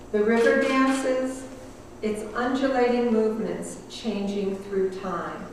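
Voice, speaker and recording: A woman giving a talk to a gathered audience as guest speaker, reading her own poetic passages in a slow, measured, lyrical way. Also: mid-range voice, slight background noise. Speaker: guest speaker, sacred dancer and priestess. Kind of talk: lecture with read-aloud poetic passages. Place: meeting room in front of an audience. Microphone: room microphone heard from a distance. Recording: echoey hall, voice far from the mic.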